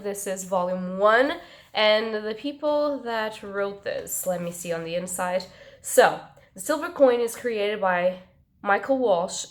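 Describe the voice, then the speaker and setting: Stuffy-nosed woman chatting to camera. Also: medium pitch. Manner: chatty and rambling, self-mocking. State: congested, talking through her nose. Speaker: book vlogger. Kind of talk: casual chat to camera.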